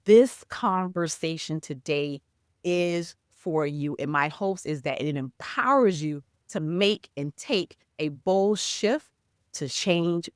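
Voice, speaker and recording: Woman podcast host and coach, upbeat, unhurried delivery, dry room. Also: deep voice, clean tone, no echo. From Mexico. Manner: upbeat, promotional, rhetorical questions. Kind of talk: podcast hosting.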